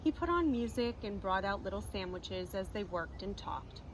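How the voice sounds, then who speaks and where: A woman reading a storybook aloud expressively in a teacherly manner, pausing to explain and stress the moral. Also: expressive. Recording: clearly noisy recording with ambient rumble.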